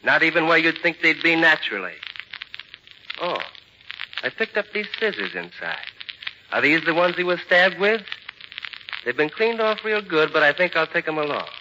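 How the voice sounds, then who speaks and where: Old radio thriller heavy: higher-set male voice, insinuating, mock-polite menace, muffled broadcast sound. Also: big pitch swings, moderate pace, pauses often, background noise. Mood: sly, probing. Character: menacing male bodyguard blackmailing a woman, slyly folksy. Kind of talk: 1940s radio drama acting.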